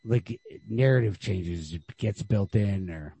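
A man talking through a book in casual discussion, halting and peppering his thoughts with "like". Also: fairly low pitch, warm tone, slightly rough, no room sound, muffled recording. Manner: halting, filler-heavy with frequent "like", casual swearing. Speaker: podcast host discussing short stories.

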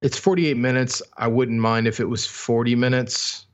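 A man's voice: mid-range voice, fairly even intonation, normal speed. A man co-hosting a music podcast, giving album opinions in casual chat with a loose, hedging, conversational manner.

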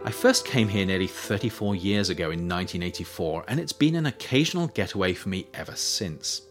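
A middle-aged man reading his own book as a scripted audiobook, in a measured, reflective, formal way. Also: low-pitched, lively intonation, music in the background.

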